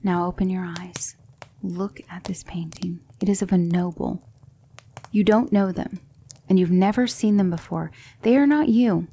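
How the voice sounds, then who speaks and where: A woman playing a gentle, patient demonic magic mentor in an ASMR roleplay, speaking in measured, hushed instruction that slips into whispers. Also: on the low side, a little hiss or hum. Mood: hushed, coaxing, then patient, instructive. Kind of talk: ASMR roleplay, soft speech slipping into whispers.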